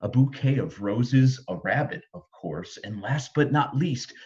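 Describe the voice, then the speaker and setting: A man reading a rhyming children's story aloud in a rhythmic, expressive way. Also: mid-range voice, measured pace, muffled recording. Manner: rhythmic, animated reading of rhyme. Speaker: storybook reader.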